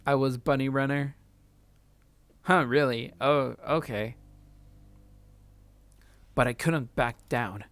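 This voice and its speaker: A man's voice: medium pitch, slow, pauses often, a bit bright, no echo. A man streaming a let's play, reading a visual novel aloud and reacting casually.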